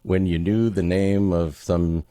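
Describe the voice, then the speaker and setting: Man, podcast host, lowish voice, slow pace, wry casual banter. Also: steady tone, mellow, no room sound, clean studio sound. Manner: wry, joking.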